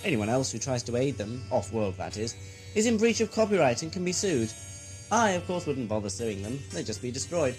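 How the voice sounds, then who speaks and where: A man's voice: mid-range voice, big pitch swings, brisk, lightly acted. A man playing a grandiose, greedy, gleefully murderous villain lord in an audio sci-fi drama, with a theatrical, mocking, mock-courteous delivery. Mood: smug, gleeful. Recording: music underneath.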